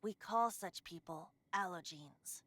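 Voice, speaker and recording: A woman's voice: lowish voice, takes it slowly, fairly bright tone. A woman voicing a carefree ancient wind god in the form of a youthful male bard, speaking in an airy, wistful storyteller manner for a video game. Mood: playful, confiding. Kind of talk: scripted video game voice acting.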